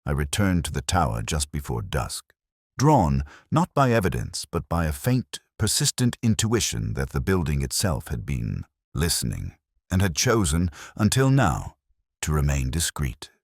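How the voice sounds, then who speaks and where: A man playing a brooding older English police detective, narrating a mystery drama in a measured, solemn, literary and reflective manner. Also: very deep voice, huge pitch swings, vocal fry, slightly rough, dry recording, clean studio sound, heavily acted. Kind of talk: scripted first-person mystery narration.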